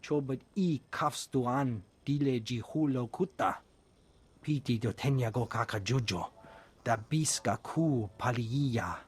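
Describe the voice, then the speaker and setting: Husky-voiced alien trader. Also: male voice, mid-range voice, unhurried. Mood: businesslike. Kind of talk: video game voice acting.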